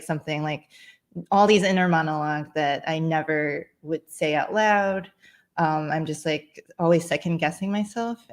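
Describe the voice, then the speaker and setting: A woman in her thirties speaks in a low register. She is casual and candid, a little hesitant and giggly, and makes light of herself as she answers. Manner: self-deprecating, giggly, hesitant. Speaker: filmmaker and comedian, panel guest. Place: small room at home.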